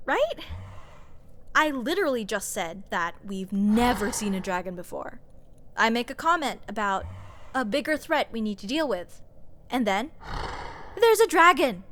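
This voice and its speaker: Snarky sorceress with a lively, high voice acted by a woman. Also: a little hiss or hum. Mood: incredulous. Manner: sarcastic, scoffing, emphatic with drawn-out words. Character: snarky, exasperated sorceress adventurer, sardonic and nitpicky. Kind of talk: fantasy comedy audio roleplay addressed to listener.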